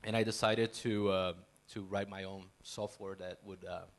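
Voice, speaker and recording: A man in his forties introduces himself on a conference panel. His voice sits low and barely moves in pitch. He speaks haltingly into a handheld microphone. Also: flat delivery. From Brazil. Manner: hesitant, many fillers and restarts. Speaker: panelist, software company CEO. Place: conference stage.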